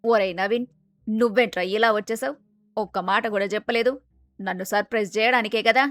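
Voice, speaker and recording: A woman acts out a middle-aged mother from a family moral story. She is boastful and doting yet bossy, scolding in exclamations. Her mid-pitched voice is dry and close over faint music. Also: steady tone, fairly quick, a bit bright, no room sound, lightly acted. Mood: surprised, fond. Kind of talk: dramatised moral story dialogue.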